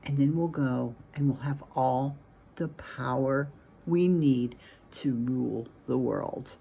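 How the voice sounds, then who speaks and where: A woman's voice: extremely low pitch, slow, vocal fry, mellow, breathy edge, voice pushed down, heavily acted. A woman voicing a power-hungry male chameleon villain in a told story, menacing and gloating. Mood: scheming.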